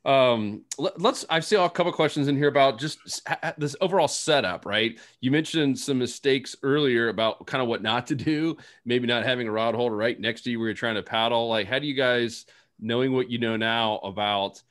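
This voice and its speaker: A man's voice: mid-range voice, brisk, fairly bright tone. A young man hosting a casual fishing panel over a video call, playful and joking as he moves between questions. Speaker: host.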